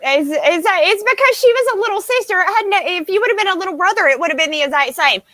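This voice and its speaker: A woman's voice: very high-pitched, expressive, fast talker, sharp tone, dry recording, clean studio sound. A woman co-hosting a podcast in casual banter, emphatic, sarcastic and free with swearing. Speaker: podcast co-host. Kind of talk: casual opinionated panel banter.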